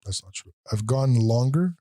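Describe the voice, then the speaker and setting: A raspy-voiced man co-hosting a casual podcast, blunt and argumentative in debate. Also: mid-range voice, expressive, normal speed, warm tone, dry recording, clean studio sound. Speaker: podcast co-host. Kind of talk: casual chat.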